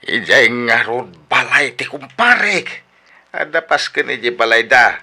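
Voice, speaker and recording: Casting: evasive Ilocano husband in radio comedy, stammering, sharp-edged and very animated. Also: male voice, medium pitch, a little slow, heavily acted. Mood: defensive.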